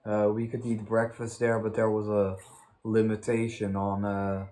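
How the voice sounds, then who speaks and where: A young man telling anecdotes in casual chat, halting and rambling as he goes. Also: on the low side, completely flat delivery, mellow, a little hiss or hum. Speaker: host of a story time channel.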